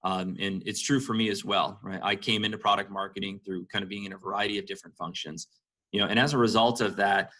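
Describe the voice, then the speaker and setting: A man with a fairly low, forceful voice gives conversational interview answers like a business executive. His tone is bright, and he fills his sentences with "you know" as he explains things. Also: fairly even intonation, quick pace. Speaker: senior marketing executive being interviewed.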